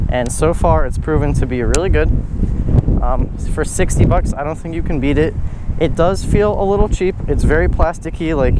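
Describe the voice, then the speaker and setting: A young man with a fairly high voice talks casually through a fishing vlog. His wording is slangy and informal. The sound comes from an action camera outdoors, with clear background noise. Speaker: fishing vlogger. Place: outdoors on grassy banks beside water.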